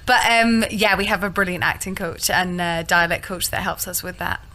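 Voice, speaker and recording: Upbeat actress, bright voice. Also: female voice, fairly low pitch, moderate pace. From Scotland. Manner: friendly, upbeat. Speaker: musical theatre actress, guest.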